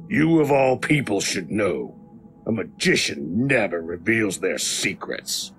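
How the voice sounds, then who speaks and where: An actor playing a sly chained prisoner in an audio drama, a gravelly tempter who bargains in a taunting, persuasive way. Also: male voice, on the low side, very animated, slow, a bit bright, soft background music, full character voice. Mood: taunting, tempting.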